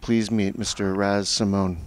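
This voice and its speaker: A male talk show host introducing his guest and asking questions, halting with frequent ums and uhs. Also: on the low side, level tone, a little hiss or hum. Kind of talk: hosting an interview.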